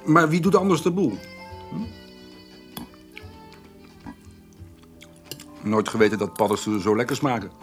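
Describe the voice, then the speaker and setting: A man playing a stubborn, homely farmer in a naturalistic radio drama, speaking haltingly and repeating his words. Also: mid-range voice, sing-song, soft background music, lightly acted. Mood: relaxed, curious. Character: stubborn, plain-spoken farmer, hesitant and homely.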